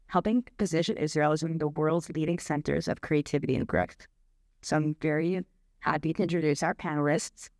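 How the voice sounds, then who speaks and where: A woman moderating a live panel discussion, asking measured questions and introducing the speakers. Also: low voice, darkish tone, no room sound. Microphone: handheld microphone.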